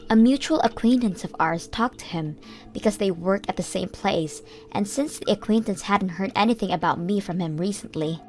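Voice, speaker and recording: A woman's voice: medium pitch, moderate pace, a little mellow. A woman reading scripted first-person story narration for a comic dub. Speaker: narrator and voice actor in a manga dub. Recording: music bed under the voice. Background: background music.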